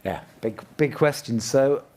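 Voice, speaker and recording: A man's voice: mid-range voice, unhurried, a little mellow. A man giving an earnest, unscripted panel answer at a conference, often repeating and restarting his phrases. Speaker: panel speaker. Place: live conference event.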